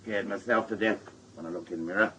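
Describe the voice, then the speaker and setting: A man's voice: low voice, fairly even intonation, fast, pushed and bright, husky, full character voice, poor audio. An actor playing a wry, stubborn middle-aged father with a Northern English accent, blunt and joking in a radio drama. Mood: gruff, wry. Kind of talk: naturalistic radio drama acting.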